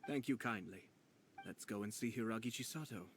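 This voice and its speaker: A man's voice: on the low side, slight background noise, lightly acted. Man playing a composed, courteous young nobleman. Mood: courteous, commanding. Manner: formal, measured, polite. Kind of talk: video game voice acting. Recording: game music behind the voice.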